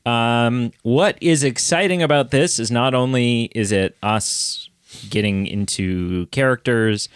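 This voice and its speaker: A man co-hosting a podcast in relaxed, rambling chat, often restarting and trailing off mid-thought. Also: mid-range voice, takes it slowly, smooth, dry recording, studio quality. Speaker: podcast co-host. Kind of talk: casual chat.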